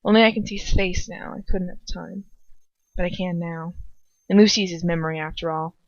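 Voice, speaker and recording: A woman's voice: fairly low pitch, fairly even intonation, brisk, dry recording. A woman reading fan fiction aloud as an amateur, informal and breaking off into casual asides. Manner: informal, self-interrupting. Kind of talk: informal first-person story narration with casual asides. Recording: dull lo-fi home recording.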